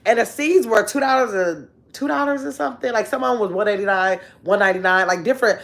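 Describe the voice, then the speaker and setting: A woman in her fifties hosts a casual livestream from her bedroom, talking into a phone held close at arm's length. She reads viewer comments aloud and answers them, with animated exclamations and long, drawn-out words. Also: mid-range voice. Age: 50s. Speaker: livestream host. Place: bedroom at home, indoors.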